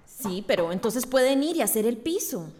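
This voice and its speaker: An earnest village schoolteacher with a Central American accent. Her voice is fairly high, her manner insistent. It is a radio drama with slight background noise. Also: big pitch swings, moderate pace, darkish tone. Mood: insistent, worried.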